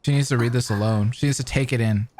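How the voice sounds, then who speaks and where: A woman's voice: extremely low pitch, fast, dark tone. A woman giving casual, conversational reaction commentary as a gamer playing through a game.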